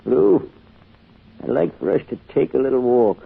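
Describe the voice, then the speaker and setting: An actor playing a kindly, patient old grandfather's ghost, folksy and unhurried, in an old-time radio drama. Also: medium pitch, animated, exaggerated voice. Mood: gentle, folksy. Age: older. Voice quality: gravelly, husky. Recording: muffled old radio recording.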